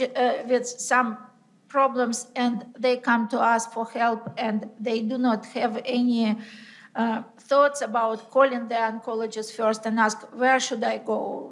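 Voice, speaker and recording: A woman who works in urgent care, speaking on a panel in a halting way. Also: a little high. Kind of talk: panel discussion remarks.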